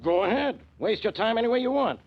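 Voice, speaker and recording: An actor playing a gruff, impatient male police inspector in a 1940s radio drama, with a husky, gravelly voice and brusque manner. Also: high voice, big pitch swings, quick pace, muffled recording, heavily acted.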